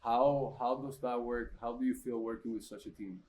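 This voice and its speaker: A man's voice: mid-range voice, steady tone, moderate pace, darkish tone, poor audio. A man hosting a podcast interview, upbeat and chatty, often restarting his phrases. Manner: enthusiastic, stumbling restarts. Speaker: podcast host.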